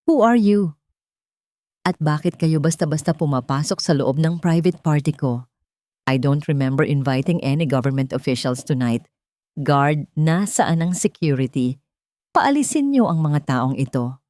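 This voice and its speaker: A woman's voice: low-pitched, lively intonation, measured pace, warm tone, no echo, studio quality. A woman voicing an arrogant, outraged businessman in a dramatic audio story, indignant and commanding. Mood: angry, indignant. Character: arrogant businessman, outraged and nervous, barking at intruders.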